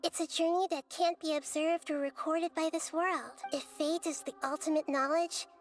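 Casting: woman as childlike game goddess, high gentle voice, wise, serene and measured, light music underneath. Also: steady tone, lightly acted. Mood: reflective. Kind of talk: video game voice acting.

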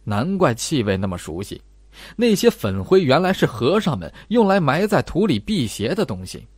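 A man's voice: fairly high pitch, big pitch swings, moderate pace, husky, dry recording. A man narrating a suspenseful horror novel as an audiobook, with dramatic storytelling delivery.

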